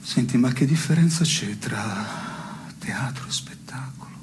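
An actor playing a wry, melancholy man in an absurdist stage dialogue, speaking in a measured deadpan. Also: mid-range voice, in a whisper, lightly acted. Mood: wry, inquiring. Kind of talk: absurdist two-hander theatre dialogue. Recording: noisy radio broadcast of theatre piece.

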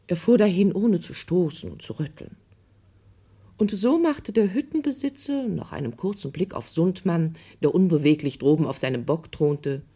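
An actress in a German radio play, playing a kindly, wise aunt who tells stories in an amused, soothing way. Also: female voice, on the low side, dark tone. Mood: narrating calmly.

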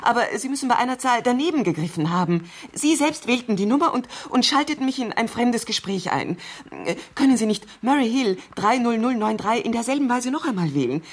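An actress playing a wealthy married woman in a radio crime drama, agitated, demanding and haltingly insistent on the telephone. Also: fairly high pitch, expressive. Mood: panicked, urgent. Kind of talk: 1970s German radio crime drama acting.

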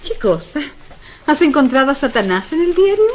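A woman's voice: high-pitched, very animated, normal speed, a little mellow, slight background noise. A woman acting in a radio drama as a sculptor's teasing, practical companion, speaking expressively and playfully. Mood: curious, wry.

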